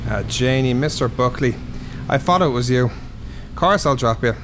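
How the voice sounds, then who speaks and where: An actor playing a cheeky younger Dublin bus driver, surly but easily cowed, in a radio drama. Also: male voice, mid-range voice, normal speed, a bit bright, slight background noise, lightly acted. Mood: sheepish, friendly.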